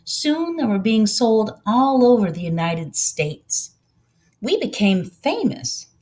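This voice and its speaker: A gentle older woman from a storybook , acted out by a female reader, recalls her community with quiet pride. She speaks earnestly and takes her time, like someone sharing a memory. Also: a little high, big pitch swings, slow. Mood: proud, earnest.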